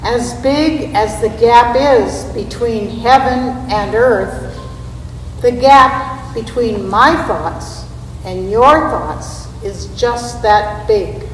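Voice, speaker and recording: A woman giving a scripted dramatic recitation in a church readers theater, with a deliberate, measured delivery. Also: a little high. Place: large reverberant church space. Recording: echoey hall, distant mic, background noise.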